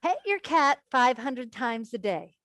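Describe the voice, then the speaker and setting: A woman's voice: a little high, sing-song, a little slow, a bit bright, no room sound, clean studio sound. A woman acting an anxious, earnest character in a staged reading of a play. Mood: wry, anxious.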